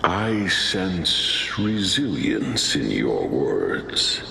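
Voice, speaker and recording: A man's voice: deep voice, big pitch swings, extremely slow, gravelly, background noise, full character voice. A man playing an ancient, slumbering ancestor spirit in a video game, grave, testing and stately in manner. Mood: solemn. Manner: solemn, stately.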